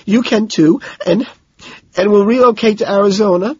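A man voicing a lovesick, pathetically clinging man in an audiobook, high, wailing and tearful. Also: normal speed, husky, dry recording, muffled recording. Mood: tearful pleading. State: crying.

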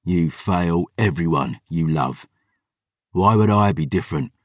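A man voicing a bitter, scornful fallen warrior in an audiobook, low and weary with a sardonic manner. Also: lively intonation, a little slow, studio quality. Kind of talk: dramatic audiobook fantasy dialogue. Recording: roomy echo, distant from mic.

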